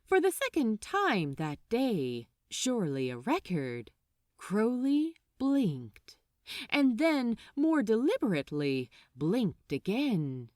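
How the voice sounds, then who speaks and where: Slow, expressive woman narrator with sweeping intonation. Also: a little high, dry recording, clean studio sound. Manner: deliberate, expressive, suspenseful. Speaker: fan fiction narrator. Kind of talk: dramatic scripted storytelling.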